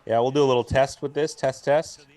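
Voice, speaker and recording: A young man with a booming voice, co-hosting a live football watch stream in rambling casual chat, mic clipped to his jacket. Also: fairly even intonation, a little fast, a little mellow. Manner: rambling, talkative, full of restarts and fillers. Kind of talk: casual chat and game commentary. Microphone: jacket used as mic mount.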